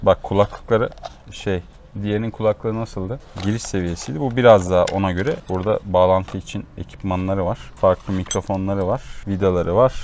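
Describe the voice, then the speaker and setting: A man's voice: deep voice, fairly even intonation, brisk, darkish tone. A man hosting a casual hands-on gear demonstration, explaining and instructing as he chats. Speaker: vlog host showing motorcycle gear. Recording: clear ambient noise behind voice.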